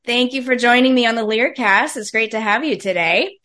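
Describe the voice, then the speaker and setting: Woman, podcast host, friendly, curious, asks questions, somewhat high voice. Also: a little fast, fairly bright tone. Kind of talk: podcast interview.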